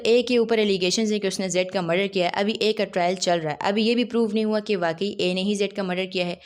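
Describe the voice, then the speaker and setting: A woman with a Pakistani accent explaining like a law lecturer, didactic, often posing questions and answering them herself. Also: medium pitch.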